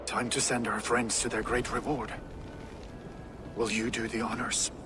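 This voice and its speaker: A male actor playing a world-weary, brooding Viking warlord in a video game, with a grave, deliberate delivery. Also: medium pitch, level tone, close to an everyday voice. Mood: heavy-hearted. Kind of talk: dramatic video game acting. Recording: echoey reverberant space, loud background noise.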